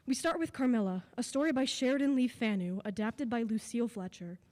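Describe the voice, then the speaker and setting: A woman narrator reads a radio drama script. Her voice is warm and a little high, carrying the long echo of an auditorium. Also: fairly quick. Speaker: announcer and narrator. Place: live on an auditorium stage. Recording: echoey auditorium.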